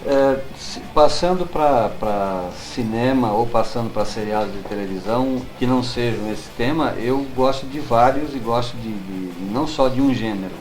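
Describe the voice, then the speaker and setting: A middle-aged man with a Brazilian accent, reminiscing as an interview guest in an unhurried, halting way, searching for his words. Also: mid-range voice, darkish tone, low-quality recording. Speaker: guest writer.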